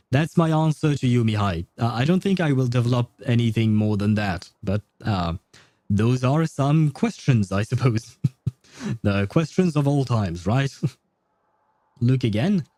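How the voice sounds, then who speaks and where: A man chatting casually on a livestream while gaming, with a halting, rambling, amused way of talking. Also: mid-range voice, expressive, darkish tone, no room sound.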